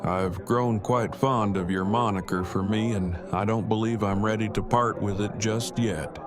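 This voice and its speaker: A man playing an awkward, earnest, bookish survivor in an audio drama, speaking in formal, precise, wordy sentences with a deadpan delivery. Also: fairly low pitch, moderate pace, over music, lightly acted. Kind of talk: fan audio drama acting.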